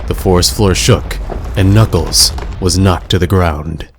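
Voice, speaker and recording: A man narrating a scripted adventure story in a measured, dramatic storybook manner. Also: low-pitched, slight background noise.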